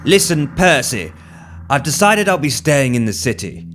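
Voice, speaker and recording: A man's voice: fairly high pitch, big pitch swings, normal speed, fairly bright tone, slight background noise. A man playing an imperious, wealthy older boss with a curt, commanding manner in an audio drama. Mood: brisk, pleased.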